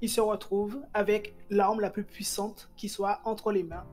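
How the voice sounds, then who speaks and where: A woman talking casually about a manga, animated and quick in bursts, with many fillers and restarts. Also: mid-range voice, soft background music. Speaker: manga reviewer. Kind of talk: casual chat.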